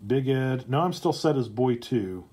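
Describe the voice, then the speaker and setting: A man presenting on a casual livestream, speaking in a halting, hesitant way with drawn-out pauses. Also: medium pitch, animated, mellow, slightly rough. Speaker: streamer and comedy writer presenting a script.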